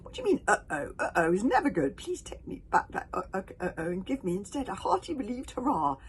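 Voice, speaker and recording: A woman's voice: mid-range voice, wildly swooping pitch, fast talker, a little hiss or hum, pitched above the performer's own voice. A woman voicing a fretful, dramatic young Viking boy who groans and fusses. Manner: groaning, fussy.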